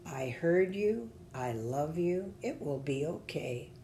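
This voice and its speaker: A gentle, mysterious God from a storybook, as a woman reads it. The voice is low, very slow and tender, reassuring, with slight background noise. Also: lively intonation, heavily acted.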